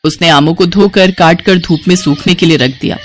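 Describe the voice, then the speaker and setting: A woman's voice: lowish voice, moderate pace, slight background noise. A woman storyteller delivering scripted narration for a moral bedtime story.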